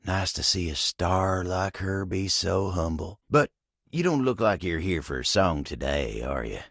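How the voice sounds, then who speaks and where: A man's voice: sits low, lively intonation, measured pace, creaky, fairly bright tone, dry recording, clean studio sound, exaggerated voice. A man voicing a friendly, humble, hard-working talking table in a video game, with a folksy, neighborly American country drawl. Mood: humble, admiring.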